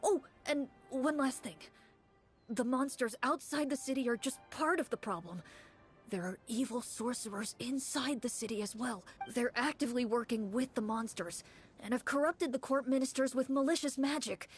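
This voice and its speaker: A woman's voice: high voice, moderate pace, faint music behind, lightly acted. A woman voicing an earnest, hopeful young townsperson in a game, speaking eagerly. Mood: worried. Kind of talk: game voice acting.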